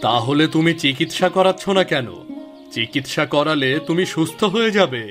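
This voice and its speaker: A man acts out a helpful, good-natured village husband in a cartoon folk tale. His voice sits a little high for a man, and music plays clearly behind him. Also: lively intonation, normal speed, natural voice. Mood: advising. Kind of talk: scripted cartoon dialogue in a Bengali folk tale.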